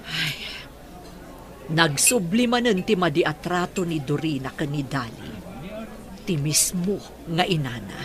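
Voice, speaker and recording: A woman's voice: low-pitched, expressive, a little slow, crowd noise. A woman with a Filipino accent playing a caring, worried mother in a radio drama. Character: caring, worried middle-aged mother of the household.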